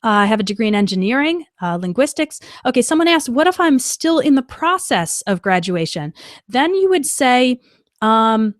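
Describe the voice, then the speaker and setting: A woman teaching an English lesson on a live stream, explaining clearly and patiently and checking in with "okay.". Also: on the high side, big pitch swings, normal speed, no room sound, clean studio sound. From United States.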